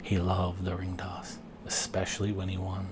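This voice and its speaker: A man reading a children's picture book aloud, expressively and with deliberate pauses. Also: deep voice, monotone, noisy background. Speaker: storybook reader and dad.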